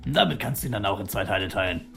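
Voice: low voice, expressive, very fast, vocal fry, fairly bright tone, soft background music. A player in a tabletop roleplaying session, joking and teasing the others and laughing often. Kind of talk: casual tabletop roleplay chat.

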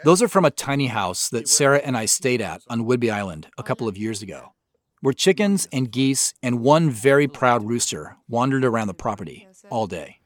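A man narrates a director's commentary as the creator of an audio drama. His mid-pitched voice moves with lively inflection. He explains his choices in a reflective way. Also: normal speed.

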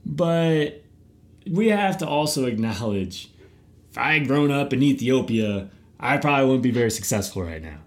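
A man's voice: mid-range voice, expressive, moderate pace. A middle-aged man, host of a podcast and youth baseball coach, talking casually and animatedly, often repeating words as he starts a thought.

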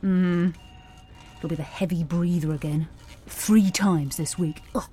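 A woman's voice: lowish voice, fairly even intonation, a little slow, warm tone, natural voice. A woman playing a chatty, awkward boutique guesthouse host who rambles over-eagerly and mutters exasperated curses, in a naturalistic audio drama style. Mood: awkwardly friendly. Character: flustered, chatty, awkward female boutique lighthouse-stay host.

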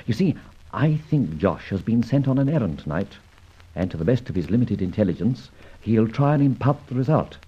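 An actor playing a suave, self-assured gentleman adventurer with an arch, taunting manner, in the style of a vintage radio serial. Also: on the low side, sing-song, normal speed, breathy edge. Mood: hushed, alert. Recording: muffled old radio recording.

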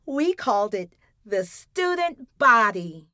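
A woman's voice: on the high side, sing-song, takes it slowly, bright, forceful tone, no room sound, exaggerated voice. A woman reading a storybook, voicing a wry, sassy teenage girl with a dry, teasing way of talking. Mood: earnest.

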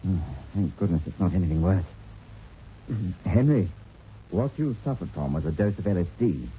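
An actor playing a calm, reassuring village doctor with a soothing, authoritative manner in a 1960s radio drama. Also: male voice, deep voice, moderate pace, a little hiss or hum. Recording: dull vintage radio recording.

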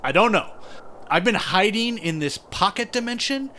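A man's voice: high-pitched, animated, moderate pace, sharp tone, a little hiss or hum. A man playing an offhand, evasive, scheming diner cook who excuses himself in a comedy audio drama. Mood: defensive.

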